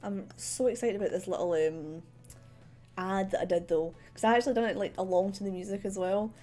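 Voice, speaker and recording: A woman's voice: mid-range voice, fairly quick, mellow. A woman from Scotland with a central belt Scottish accent, chatting casually on a livestream at her desk, rambling with lots of fillers and laughs. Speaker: streamer. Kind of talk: casual livestream chat while drawing. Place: home desk. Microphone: desk microphone. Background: faint background music.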